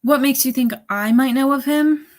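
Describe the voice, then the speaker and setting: A woman's voice: high voice, normal speed, natural voice. A woman playing a haughty, possessive nightclub singer with imperious, melodramatic delivery in a radio drama. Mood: wary. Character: Aisha, haughty, possessive female singer of the Casbah. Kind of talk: 1940s radio drama acting.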